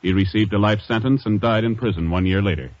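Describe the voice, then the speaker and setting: An American man announcing in formal 1950s radio style, measured and authoritative. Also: lowish voice. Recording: muffled old radio recording, faint music.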